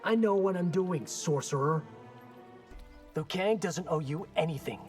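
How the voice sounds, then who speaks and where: An actor playing a defiant, skeptical young warrior in a video game, with a blunt, casual, challenging delivery. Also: male voice, a little high, unhurried, darkish tone, natural voice. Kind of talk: video game character dialogue. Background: game music.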